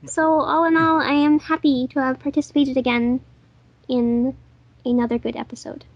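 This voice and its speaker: Woman, high voice, dry muffled lo-fi recording, casual commentary chat. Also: level tone, normal speed, no echo. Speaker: dub crew member on commentary.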